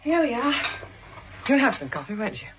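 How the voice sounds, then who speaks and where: A woman's voice: fairly high pitch, sing-song, moderate pace, background noise. An actress with a British accent playing a policeman's protective, exasperated wife in a vintage radio drama, firm and solicitous. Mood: solicitous, wry. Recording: dull, muffled vintage radio recording.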